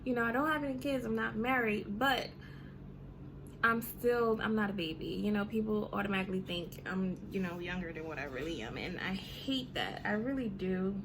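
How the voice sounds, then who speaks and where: A woman's voice: medium pitch, moderate pace, background noise. A woman in her thirties ranting casually to her vlog audience, chatty and full of "you know" and "um". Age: 30s. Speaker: vlogger. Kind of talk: casual rant to camera.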